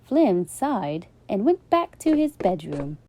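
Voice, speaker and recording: A woman reading a children's bedtime story aloud, gentle and expressive. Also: mid-range voice, slow pace.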